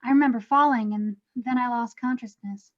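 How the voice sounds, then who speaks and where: A fussy, overbearing middle-aged ghost mother, acted by a woman, with a high voice that gushes over her child. It is a cartoon comedy read, caught on a dull lo-fi microphone. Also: normal speed, close to an everyday voice. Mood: puzzled recalling. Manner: doting, chatty, overbearing. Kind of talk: animated comedy table read.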